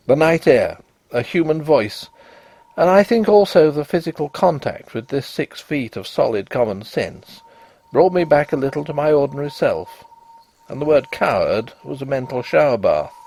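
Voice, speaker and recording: Husky, very expressive male storyteller. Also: on the high side, moderate pace. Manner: expressive, theatrical. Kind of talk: dramatic first-person literary ghost story narration.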